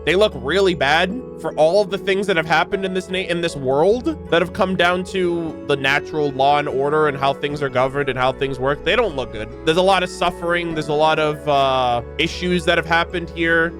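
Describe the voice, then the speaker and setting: A man's voice: a little high, moderate pace, hardly any pauses. A man giving casual, conversational and emphatic commentary as a game streamer. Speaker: streamer reacting to video game lore. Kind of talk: casual commentary, with some reading aloud. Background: background music.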